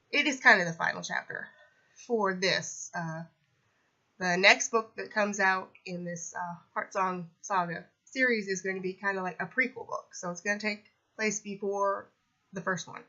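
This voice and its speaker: A young woman with a bright voice and a slightly sniffly, stuffed-up sound, chatting casually to camera about her writing. She rambles, filling her sentences with ums, likes and you knows. The recording is dull and lo-fi. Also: medium pitch. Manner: rambling, lots of fillers like um and you know. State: congested from a cold, sniffly. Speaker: self-published author vlogging. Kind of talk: casual update vlog.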